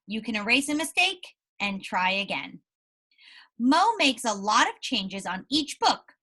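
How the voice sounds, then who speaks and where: A woman's voice: a little high, very animated, unhurried, sharp tone, husky. A woman teacher reading aloud and explaining things to young pupils, encouraging them and often checking in with questions. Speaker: primary school teacher.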